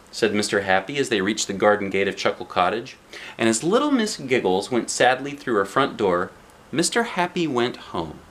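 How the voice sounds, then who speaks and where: A man reading a children's book aloud like a dad, with expressive narration aimed at young listeners. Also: medium pitch, slight background noise. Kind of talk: animated children's storybook narration.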